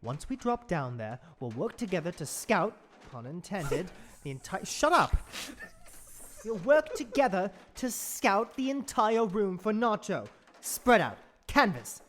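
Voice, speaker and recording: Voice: mid-range voice, measured pace. An actor playing a bossy, impatient, obsessive leader of an eccentric gang in a comedy audio drama. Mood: impatient, commanding. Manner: commanding, excitable.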